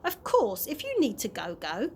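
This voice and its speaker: A woman's voice: a little high, wildly swooping pitch, normal speed, a little mellow, a little hiss or hum. A woman voicing a pompous male television presenter, formal and a little flustered, in a storybook reading. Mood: formal, apologetic. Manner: formal, uncertain.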